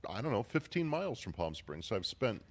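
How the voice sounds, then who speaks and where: A man who grew up in California runs a morning radio show in a loose, joking, chatty way. His pitch rises and falls a lot, which keeps the talk animated as he trades banter with his co-hosts from away from the studio. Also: fairly quick.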